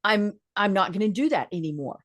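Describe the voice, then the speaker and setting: A woman with a medium-pitched voice hosts an interview. She talks in a conversational style and is agreeable in manner, readily affirming and adding to the discussion. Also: animated, brisk, fairly bright tone. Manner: agreeable, frequent affirming murmurs. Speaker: podcast host.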